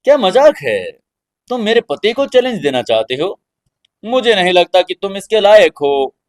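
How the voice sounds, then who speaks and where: A man's voice: sits high, very animated, normal speed, voice pushed up. A man voicing a haughty, scornful doctor's wife with a mocking, cold manner in an audio story.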